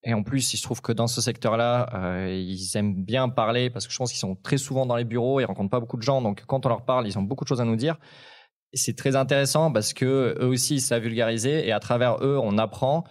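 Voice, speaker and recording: A man's voice: mid-range voice, fairly quick, darkish tone. A young man of Ukrainian origin, a tech journalist on a talk show panel, speaking hesitantly with many fillers. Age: young adult.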